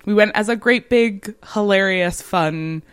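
A woman's voice: medium pitch, a little slow, clear, resonant tone, no echo. A woman co-hosting a podcast in casual chat, laughing often and talking with lots of fillers. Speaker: podcast co-host.

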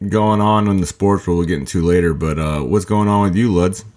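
A man's voice: deep voice, steady tone, brisk, a little mellow. A man hosting a casual chat with friends, loose and profane, often laughing and calling people "dude" and "bro.". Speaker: podcast host.

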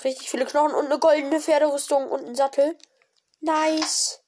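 A woman with a cold, giving casual, excited commentary on her own gameplay with many fillers and exclamations. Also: high-pitched, moderate pace, a little hiss or hum. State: has a cold. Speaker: gaming video creator. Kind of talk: casual let's-play commentary.

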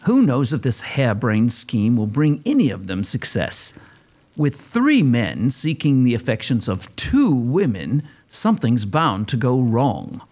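A man's voice: mid-range voice, very animated, a little slow, darkish tone. A man giving playful, theatrical scripted narration as the storyteller of an audio drama.

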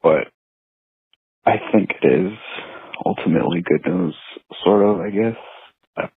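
A man's voice: sits low, slow, creaky, slightly rough, no echo. A man leaves a hesitant, subdued personal voicemail. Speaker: caller leaving a voicemail. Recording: tinny phone line.

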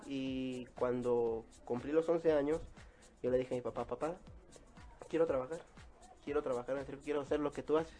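A man in his twenties with a mellow, relaxed voice at a medium pitch. He speaks casually, like a guest telling his story in an interview, with light music underneath. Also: moderate pace. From Mexico. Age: 20s. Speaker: interview guest, circus clown.

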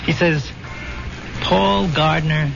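An actor playing the plainspoken ghost of a dead soldier, a loyal buddy, in an old radio drama. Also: male voice, fairly high pitch, fairly even intonation, extremely slow, gravelly, full character voice. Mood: wry, recounting. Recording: muffled vintage radio recording with music.

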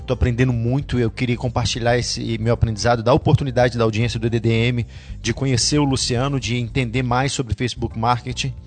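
A man hosts a podcast interview and asks his questions in a steady, structured way. His voice sits at an ordinary male pitch. Soft music plays faintly behind him.

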